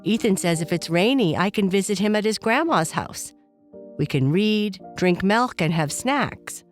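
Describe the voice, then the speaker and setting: A woman's voice: medium pitch, lively intonation, a little slow, faint music behind, lightly acted. A woman voicing a curious, eager little girl bear cub in a children's bedtime story, chatty and childlike. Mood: cheerful.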